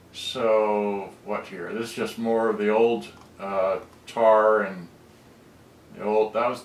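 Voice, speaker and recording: A man casually walking through a cassette deck repair, rambling with halting restarts. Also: on the low side. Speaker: electronics repair hobbyist. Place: room, filming photos on a monitor. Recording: roomy, distant camera audio.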